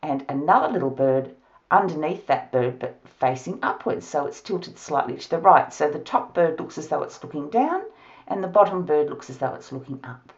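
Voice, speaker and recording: A hoarse Australian woman with a low voice talks through a card-making project, her pitch swinging up and down as she chats. She laughs now and then between instructions. The sound is a little muffled and lo-fi. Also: lively intonation, moderate pace. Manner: chatty, laughs often. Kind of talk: crafting tutorial narration. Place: home craft studio, at a table.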